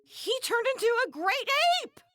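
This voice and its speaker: A woman voicing a fretful, scolding anime mother in a video game, blunt and expressive. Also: extremely high pitch, moderate pace, no echo. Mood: alarmed. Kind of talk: animated game voice acting.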